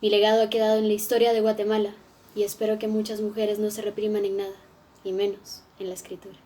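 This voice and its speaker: A woman's voice: mid-range voice, monotone, fast, dark tone, slight background noise, natural voice. A woman playing a frank, witty nineteenth-century woman writer, telling her life story in first person and speaking straight to the audience. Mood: chatty. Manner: chatty, addresses the audience directly. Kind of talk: first-person historical monologue.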